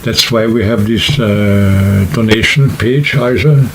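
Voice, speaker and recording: A man talking casually and unscripted to camera, explaining things in a rambling, halting way like a vlogger. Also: fairly low pitch, a little hiss or hum. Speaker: hiking vlogger and trip organizer.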